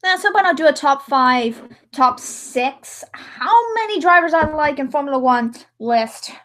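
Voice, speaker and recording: A woman's voice: high voice, expressive, measured pace, a bit bright. A woman chatting casually as a livestream host while editing, rambling and theatrical. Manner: rambling, theatrical, with sudden mock-dramatic outbursts. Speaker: livestream host and amateur voice-over editor.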